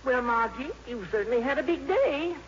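A woman's voice: high-pitched, animated, normal speed, a little hiss or hum. An actress playing a plain-spoken, inquisitive old grandmother in a 1950s radio drama. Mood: wry. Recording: muffled vintage radio broadcast.

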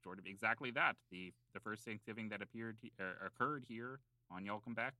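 A man's voice: lowish voice, fairly quick, bright, forceful tone, no room sound. A man playing a self-serious island local who explains town lore in long, hesitant, mock-scholarly rambles on an improvised comedy podcast. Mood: earnest, rambling.

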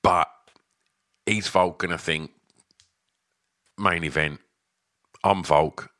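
A man's voice: low-pitched, steady tone, takes it slowly, sharp tone, no room sound, clean studio sound. A man co-hosting a podcast, chatting casually, stumbling and repeating words as he thinks. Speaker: podcast co-host. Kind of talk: casual chat about fights.

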